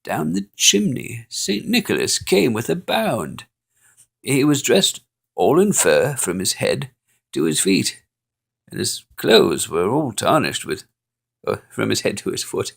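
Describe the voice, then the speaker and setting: A man reads a holiday poem aloud, playful and expressive. His voice is gravelly and unhurried. His pitch rises and falls in lively swoops. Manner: expressive, playful reading with chuckles. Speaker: storyteller.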